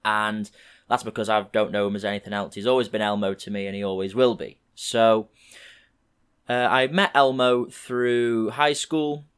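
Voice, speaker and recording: A young man in his twenties from England, hosting a podcast and talking through a rambling solo intro in a slightly croaky voice. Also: on the low side. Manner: relaxed, rambling, with frequent fillers. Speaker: podcast host.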